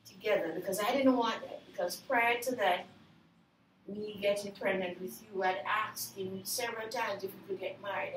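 Older Jamaican woman, measured and earnest. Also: medium pitch. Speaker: interviewee. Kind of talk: reflective personal storytelling. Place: sunlit room by glass doors. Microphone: far camera. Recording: roomy, far from the mic, faint noise.

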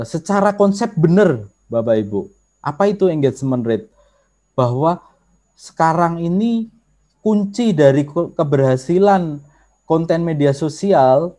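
A man's voice: on the high side, dark tone. A man with a Javanese accent teaching an online workshop over a video call, explaining patiently and often addressing his listeners directly. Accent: Javanese Indonesian. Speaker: workshop instructor.

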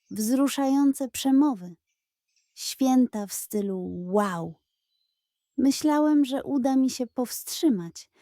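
A high, theatrical pug voiced by a woman from a children's bedtime story. He is gluttonous and weak-willed, pleading his case with sheepish drama. The delivery is unhurried and closely recorded, with very little room sound. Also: dark tone, dry recording, clean studio sound. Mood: dramatic regret.

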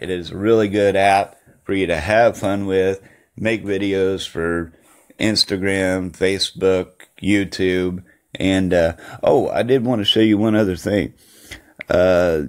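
Slow-talking, low-voiced man reviewing casually. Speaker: app reviewer, host. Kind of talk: casual app review voice-over.

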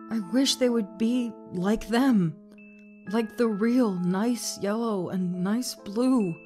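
A woman's voice: mid-range voice, dark tone, lightly acted. A woman voicing a naive young gem princess in a comic dub, hesitant and pleading, with childlike wistfulness. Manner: hesitant, halting, childlike wishing.

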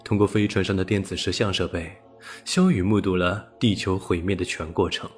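A man with a fairly low voice reads a scripted audiobook narration. Faint music plays behind him. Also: fairly even intonation, a little fast.

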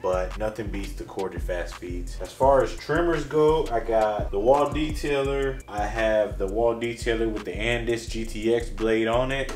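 A low-pitched man in his thirties talks loosely and slangily as he walks through a small indoor studio. His voice sits a little away from the handheld camera mic and bounces off the bare walls. Music plays clearly behind him. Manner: laid-back slangy chatter, animated shifts in pitch and loudness. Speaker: barber giving a studio tour. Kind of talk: casual vlog walkthrough. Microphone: handheld camera mic, moving around the room. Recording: roomy echo, somewhat far from mic, music behind.

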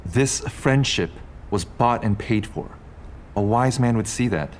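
A man's voice: on the low side, measured pace, slight background noise, close to an everyday voice. A man playing a big, resentful, scornful driver turned traitor in an audio drama, bitter and defiant in his formal speech. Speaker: actor in a podcast drama.

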